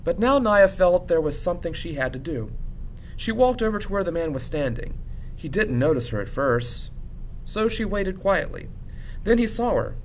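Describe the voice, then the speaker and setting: A man reading a children's novel aloud like a teacher narrating to students, with low rumble behind him. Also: very animated, moderate pace, low-quality recording. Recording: clear background rumble noise.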